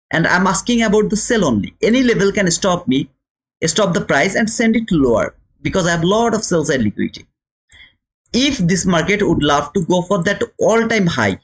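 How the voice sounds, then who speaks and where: A man with a Bangladeshi accent talks in a high-pitched voice that rises and falls widely. He teaches live to an online audience in an emphatic way. He often repeats his phrases and puts rhetorical questions to his viewers. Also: husky. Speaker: trading teacher and livestream host. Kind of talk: live instructional commentary, talking to viewers.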